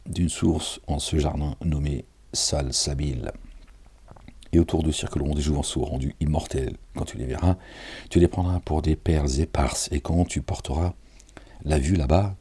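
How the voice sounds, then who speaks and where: A man's voice: a bass voice, steady tone, moderate pace, dark tone, breathy edge. A tired man reading scripture aloud and commenting on it in a casual, digressive way, talking straight to his listeners with chuckles. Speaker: self-styled preacher reading and commenting on scripture.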